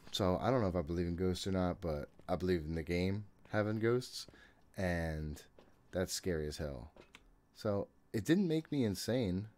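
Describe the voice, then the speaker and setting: A man's voice: deep voice, a little mellow. A man in his thirties giving casual, nervous, jokey and profane commentary while playing a horror game at home. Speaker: gaming streamer. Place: small room at home, indoors. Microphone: desk condenser mic close by, headphones on.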